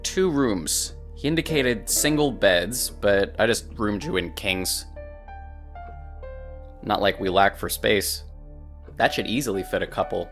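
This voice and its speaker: A man's voice: lowish voice, a little slow, fairly bright tone, faint music behind. A man playing an affable, wordy hotel clerk in a visual novel, with a dramatic, chatty manner. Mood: businesslike.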